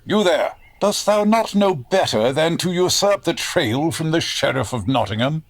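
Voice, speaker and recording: An actor playing a pompous, villainous Sheriff of Nottingham in a comedic audio drama, speaking in commanding archaic English. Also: male voice, fairly high pitch, animated, normal speed, dry recording. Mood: imperious.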